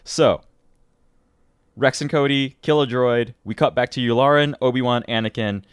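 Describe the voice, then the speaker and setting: A man's voice: mid-range voice, fairly even intonation, normal speed, smooth, no room sound, studio quality. A man from Michigan with a slight northern Midwestern accent, co-hosting a review podcast in an easygoing way, often repeating words. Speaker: podcast co-host. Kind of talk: casual review chat.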